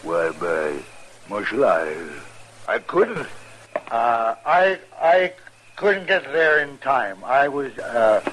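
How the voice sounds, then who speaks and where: A sly, stammering frontier husband. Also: male voice, medium pitch, big pitch swings, fairly bright tone, heavily acted, low-quality recording. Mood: sly, insistent. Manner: halting, stammering, gloating rustic drawl. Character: sly, spiteful frontier husband, middle-aged man. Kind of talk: 1950s radio drama acting.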